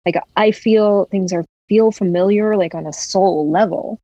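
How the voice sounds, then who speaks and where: A woman's voice: medium pitch, unhurried, mellow, no echo, lo-fi sound. A young woman from West Virginia, raised in Florida, talking reflectively as a podcast guest. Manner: reflective, many "like" fillers. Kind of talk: casual conversation.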